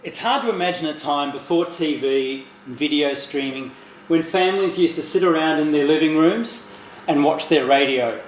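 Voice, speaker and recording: A man's voice: a little high, normal speed. A man presenting in an explanatory video narration style. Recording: echoey room, faint background noise.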